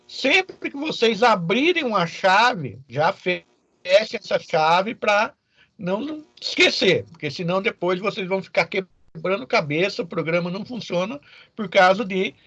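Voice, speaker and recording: A man's voice: high voice, animated, measured pace, sharp tone, breathy edge, no echo, lo-fi sound. A Brazilian man giving an informal, didactic lecture as a programming teacher, often checking in with short questions to his students.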